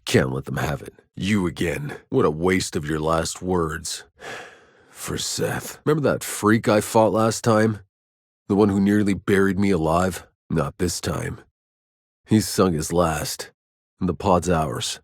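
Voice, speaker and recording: A man playing a gruff, wry, battle-worn soldier in a sci-fi video game. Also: sits low, dry recording, clean studio sound, exaggerated voice. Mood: tense, grim. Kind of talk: video game voice lines, dramatic acting.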